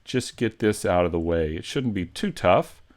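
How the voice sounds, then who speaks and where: A man giving a casual live tutorial, thinking aloud in an unhurried, hesitant way as he explains each step. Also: low voice, lively intonation. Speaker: 3D modeling instructor, live stream host.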